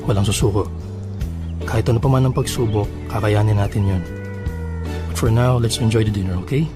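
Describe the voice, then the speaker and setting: A man playing a devoted, reassuring boyfriend in a radio drama, coaxing gently with a Filipino accent. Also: fairly low pitch, brisk, over music, lightly acted. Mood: tender, reassuring.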